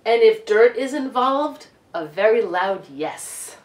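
A middle-aged woman reading a picture book aloud to children in an expressive, deliberate way with emphasized words. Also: fairly high pitch. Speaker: children's storytime reader. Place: living room couch, indoors. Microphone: camera a few feet away. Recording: roomy home recording, distant microphone.

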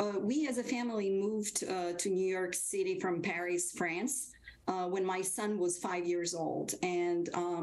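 A woman from Serbia, speaking as a guest over a video call from a small wood-paneled room. She talks at a middle pitch and hesitates often, filling pauses and restarting her sentences. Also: poor audio. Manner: hesitant, many fillers and restarts. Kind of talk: remote interview storytelling.

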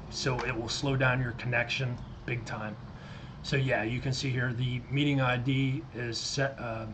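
A middle-aged American man giving a casual, explanatory screen-share software tutorial. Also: mid-range voice, background noise. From United States. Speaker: tutorial presenter. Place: indoors at a computer desk. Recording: loud guitar music behind the voice. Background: loud guitar music.

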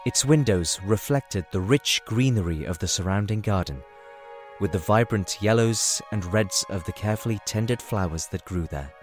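Low-pitched male story narrator. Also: normal speed. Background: music.

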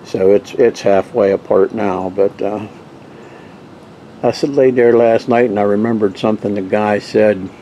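Guy with a real low mellow voice, rambling on easy about his yard-sale camping haul, lots of uh's. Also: a little slow, dull audio, a little hiss or hum. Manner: rambling, relaxed storytelling with frequent uh's and restarts. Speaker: vintage camping gear collector showing finds. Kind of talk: casual show-and-tell narration.

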